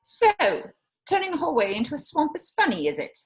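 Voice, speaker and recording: A woman's voice: sits high, huge pitch swings, brisk. A woman playing a mocking, sweetly menacing middle-aged school official in an amateur script read. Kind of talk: amateur online screenplay table read.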